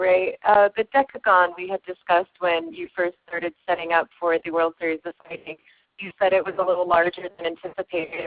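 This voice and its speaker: A woman's voice: on the low side, no echo, phone-quality sound. A woman sports reporter asking prepared interview questions over a phone conference line.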